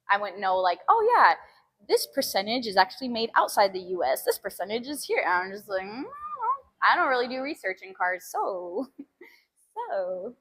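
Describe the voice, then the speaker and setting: A woman chatting casually with live viewers as a fitness stream host, giggly and animated as she talks between sets. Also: medium pitch. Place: gym, during a workout.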